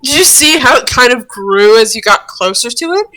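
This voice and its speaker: A woman's voice: a little high, expressive, moderate pace, pushed and bright, rough recording. A woman voicing an earnest, friendly male cartoon devil, chatty and a little hesitant, in an amateur roleplay. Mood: relaxed, amused. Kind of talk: amateur roleplay read-aloud of a cartoon character.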